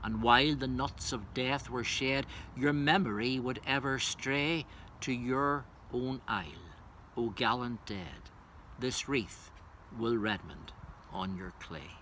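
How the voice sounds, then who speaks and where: A man speaking as a poetry reader, introducing and reciting verse in a measured way. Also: mid-range voice, pushed and bright, a little hiss or hum.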